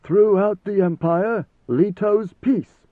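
A man voicing a calm, ancient male god-emperor in a story, grave and knowing, speaking in measured, lordly phrases. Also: high voice, voice pushed up. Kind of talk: dramatized audiobook dialogue. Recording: tinny, band-limited, roomy echo.

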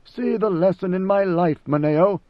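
Guy doing a stern old god emperor, slow grave lecturing, raised a bit higher than his usual. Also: dry recording, lo-fi sound, lightly acted. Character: commanding ancient male god emperor, stern.

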